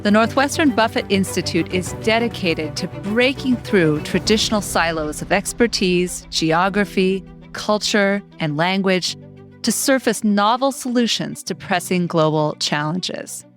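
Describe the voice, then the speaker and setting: A middle-aged woman hosting a podcast, reading a scripted intro and asking interview questions with upbeat, lively emphasis. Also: mid-range voice, measured pace, faint music behind. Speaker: podcast host.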